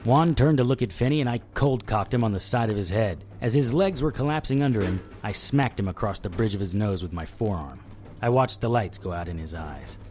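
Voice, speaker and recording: Man acting a wry detective with animated delivery. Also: on the low side, fairly quick, soft background music, natural voice. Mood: grim narration. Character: wry, unflappable tough male private investigator. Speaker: narrator and lead actor. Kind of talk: hard-boiled detective audio drama acting with first-person narration.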